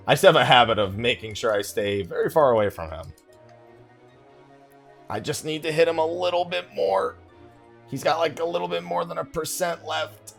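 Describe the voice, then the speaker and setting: Lively man giving casual gaming commentary. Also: medium pitch, vocal fry. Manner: talks to himself while playing. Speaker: video game streamer. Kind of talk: casual let's play commentary. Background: game music.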